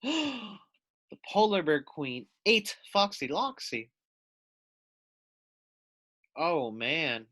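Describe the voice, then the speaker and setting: Animated man reading aloud to children. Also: on the high side, extremely slow, long pauses. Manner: expressive, addresses young listeners directly. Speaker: read-aloud teacher. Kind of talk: storybook read-aloud with questions for children.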